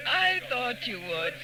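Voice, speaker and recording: An actress playing a well-bred society hostess, formal and polished, in a 1940s radio drama. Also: female voice, fairly high pitch, heavily acted. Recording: noisy old 1940s radio recording.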